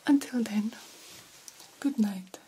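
A woman playing a vampire doctor in an ASMR roleplay, guiding a young fledgling with formal, deliberate, hypnotic instructions. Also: on the high side, warm tone, a little hiss or hum, poor audio. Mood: approving, gentle. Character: stern yet encouraging female vampire doctor mentoring a fledgling.

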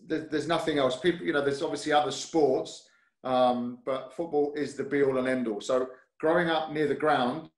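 A man from North London with a London accent, a guest talking easily in an interview, hesitant with fillers and restarts. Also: on the high side. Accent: London English. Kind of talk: casual interview chat. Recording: roomy echo.

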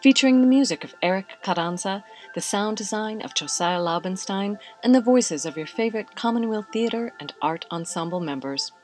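A woman's voice: medium pitch, expressive, normal speed, few pauses, a little mellow, soft background music. A woman announcer reading a scripted introduction to a radio play.

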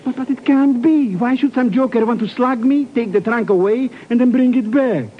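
Excitable radio-play sidekick, high voice, flustered, muddle-headed, noisy old recording. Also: male voice, normal speed, phone-quality sound. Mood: flustered protest. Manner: flustered, malapropisms, stammering repeats. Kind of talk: 1950s radio drama acting.

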